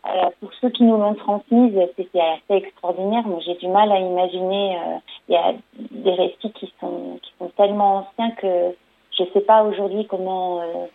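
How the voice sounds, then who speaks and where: A woman's voice: mid-range voice, fairly even intonation, moderate pace, gravelly. A woman storyteller answering interview questions, hesitant, with many fillers and restarts. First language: French. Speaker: storyteller and performer. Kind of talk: radio interview. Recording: phone-line audio.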